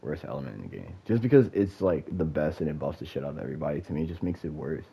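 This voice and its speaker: A man with a very low, husky voice and a stuffed-up, nasal sound rants about a game. He swears freely and rambles through many fillers and restarts. The recording is slightly dull and lo-fi. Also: lively intonation, racing. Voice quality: nasal, congested. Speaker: gamer giving opinions. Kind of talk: casual rant.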